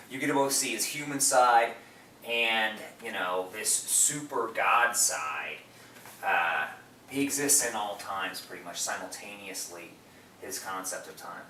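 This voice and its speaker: A man's voice: fairly low pitch, sharp tone. A man co-hosting a movie podcast, explaining things in a rambling, enthusiastic way during a casual film discussion. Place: echoey room, away from the mic. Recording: roomy, distant mic, faint noise.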